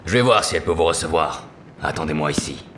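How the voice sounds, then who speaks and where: Man acting a low-voiced street beggar, noisy recording. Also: lively intonation, quick pace. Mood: guarded. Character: wheedling male beggar, secretly a smugglers' lookout. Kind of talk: French audio drama acting. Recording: noisy, distant-sounding voice.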